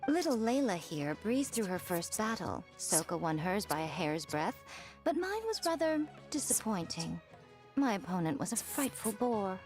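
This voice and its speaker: A woman's voice: medium pitch, big pitch swings, moderate pace, music in the background, close to an everyday voice. An actress playing a poised, worldly and playfully teasing woman with genteel phrasing in an anime fantasy game. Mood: airy, amused.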